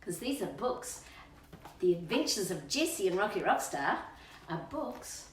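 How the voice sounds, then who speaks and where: Australian woman, animated intonation, playful children's storytime chat, coaxing sing-song questions, echoey home room. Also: medium pitch, measured pace, slight background noise. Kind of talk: chatty children's storytime, talking to kids and her dog. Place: indoors at home, on a couch. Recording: roomy echo, distant microphone.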